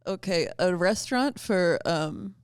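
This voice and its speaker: A woman's voice: on the low side, expressive, measured pace, clean tone, no echo, clean studio sound. A woman guesting on a comedy podcast, teasing the hosts with sarcastic, crude jokes. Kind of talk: casual comedy banter.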